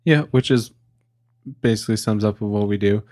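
A man's voice: on the low side, brisk, darkish tone, no room sound, clean studio sound. A young man in his early twenties co-hosting a casual podcast, a little drunk, rambling with fillers and restarts. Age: young adult. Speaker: podcast co-host.

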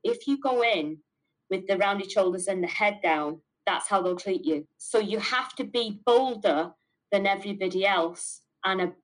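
A woman's voice: medium pitch, rough recording. Emphatic middle-aged Mancunian woman. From north of England. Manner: emphatic, repeats words for stress. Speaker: business owner being interviewed. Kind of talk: candid interview answers.

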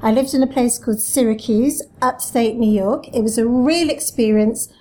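A woman's voice: on the high side, normal speed, a little mellow. A woman in her forties, a communications director answering interview questions in a chatty way with self-deprecating humour. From Wales. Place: office.

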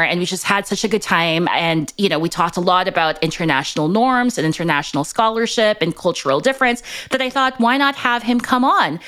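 A woman from the Philippines hosting a podcast interview, enthusiastic and expressive as she introduces her guest. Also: medium pitch. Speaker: podcast host. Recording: music bed behind voice.

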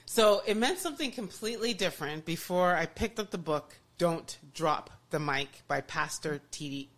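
A woman's voice: fairly low pitch, animated, normal speed, a bit bright. A woman hosting a podcast, talking enthusiastically in casual chat with many restarts and "you know"s. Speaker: podcast host.

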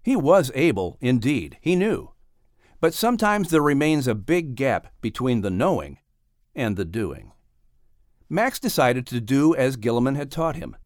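A man reading a children's story as an audiobook narrator, with expressive, dramatic delivery. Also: mid-range voice, unhurried, dry recording, clean studio sound.

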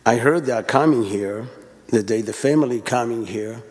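A man's voice: medium pitch, darkish tone. Israeli-accented man speaking in a reverberant church. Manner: halting, with fillers and restarts. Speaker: church member giving a eulogy. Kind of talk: unscripted memorial remarks. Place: church sanctuary. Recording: reverberant hall.

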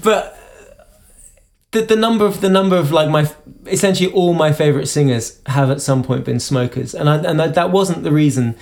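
A singer-songwriter from the UK, talking in an interview about his singing, with a high voice and halting speech full of restarts. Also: creaky, a little mellow. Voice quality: self-described high voice. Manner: halting, many restarts and repeated words. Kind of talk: conversational interview.

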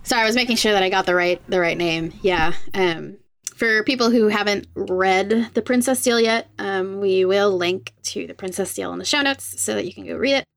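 A woman hosting a conversational podcast interview, speaking carefully and haltingly as she gets the details right. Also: mid-range voice, vocal fry, dry recording.

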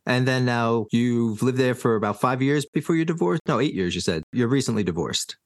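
A man hosting an interview, asking questions and responding in a warm, affirming, conversational way. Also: medium pitch, a little fast, no echo, clean studio sound. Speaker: podcast host.

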